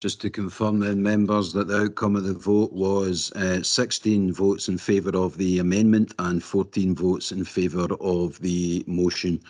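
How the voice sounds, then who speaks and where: A man formally announcing the result of a council vote in an even, deliberate way. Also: low-pitched.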